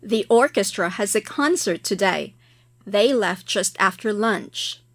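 Female dialogue reader for a language-exam recording, measured and careful, mid pitch, dry close sound. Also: animated, dry recording. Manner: slow, careful textbook reading. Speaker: listening test reader. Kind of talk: scripted listening exam dialogue.

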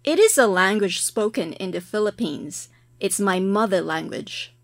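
Female dialogue reader for a language-exam recording, measured and careful, mid pitch, dry close sound. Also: lively intonation, no room sound. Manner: slow, careful textbook reading. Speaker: listening test reader. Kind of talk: scripted listening exam dialogue.

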